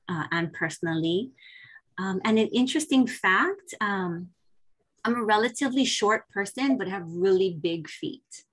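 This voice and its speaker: A young woman introducing herself on a webinar, speaking in a friendly, measured way. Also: on the low side, fairly bright tone, poor audio. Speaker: advocacy volunteer.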